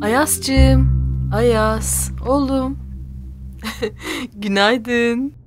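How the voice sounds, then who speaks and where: A woman playing a gentle, affectionate young mother in a children's cartoon, talking in a soft, coaxing way. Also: a little high, fairly even intonation, drawn-out words. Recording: music behind the voice.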